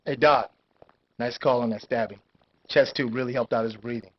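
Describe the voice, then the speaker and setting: A man playing a brisk emergency room staffer in a video game. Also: mid-range voice, steady tone, muffled recording, lightly acted. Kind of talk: FMV game acting.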